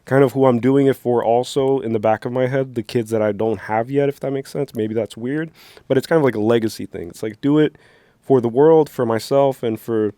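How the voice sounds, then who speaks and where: A man's voice: medium pitch, a little fast, mellow, no room sound. A young man from New Jersey co-hosting a casual movie reaction channel, talking in a playful, rambling, teasing way. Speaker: co-host. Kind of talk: casual chat.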